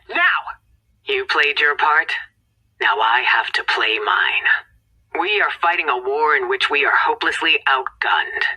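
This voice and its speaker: A woman's voice: lowish voice, bright, forceful tone, slightly rough, heavily acted, poor audio. A woman playing an urgent, commanding scientist in a video game, giving terse, tense mission directions. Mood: grave, resolute.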